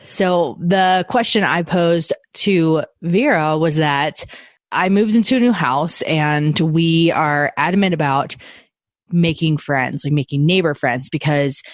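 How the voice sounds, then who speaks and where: A woman's voice: low voice, slow, dry recording, studio quality. Chatty podcast co-host, a woman. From United States. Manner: chatty, laughs often, trails off mid-sentence. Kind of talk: casual chat.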